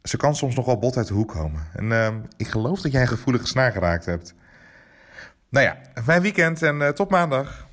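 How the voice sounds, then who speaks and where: A man's voice: mid-range voice, big pitch swings, normal speed, a bit bright. An actor playing a considerate, easygoing male colleague leaving a casual, reassuring message in a fiction podcast. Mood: apologetic, kind.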